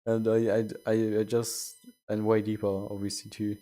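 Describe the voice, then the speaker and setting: Rambling young male streamer, low voice. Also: monotone, moderate pace, warm tone. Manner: rambling, self-correcting. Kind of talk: casual chat while gaming.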